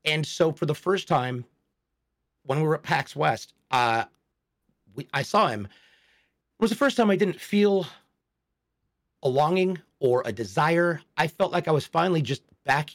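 A man in his forties talks openly and thoughtfully on a casual podcast. His voice sits a little high for a man. He takes his time and reflects as he tells his story. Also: expressive, measured pace, long pauses. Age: 40s. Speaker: podcast co-host. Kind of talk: casual chat.

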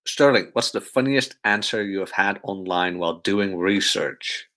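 A man's voice: low-pitched, moderate pace, sharp tone. A man hosting a casual podcast chat, easygoing and reading out listener questions. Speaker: podcast host.

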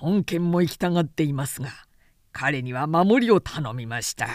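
A woman's voice: deep voice, expressive, normal speed, no echo, clean studio sound, pitched below the performer's own voice. A woman voicing a boisterous, confident male warrior general with emphatic, old-fashioned speech in an audiobook reading. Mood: confident, eager.

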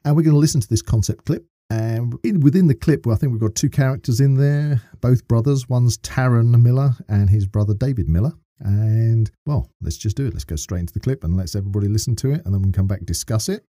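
A man's voice: mid-range voice, quick pace, hardly any pauses, warm tone, no room sound, studio quality. A man co-hosting a podcast in casual chat, with theatrical emphasis. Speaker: podcast co-host.

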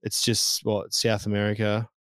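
A man's voice: on the low side, completely flat delivery, moderate pace, fairly bright tone, no room sound. A man, co-hosting a casual podcast chat, blunt and sweary. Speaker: podcast co-host.

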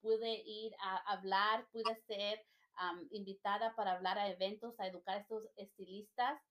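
A woman's voice: medium pitch, fairly even intonation, normal speed, a bit bright. A woman in her thirties hosting a casual podcast chat, upbeat and encouraging, dropping English fillers into her Spanish. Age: 30s. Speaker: podcast host.

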